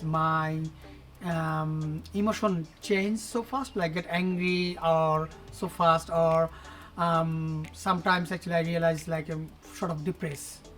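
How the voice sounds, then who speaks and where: A man in his forties answering interview questions casually, halting and searching for words with many fillers. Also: fairly high pitch, fairly bright tone. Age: 40s.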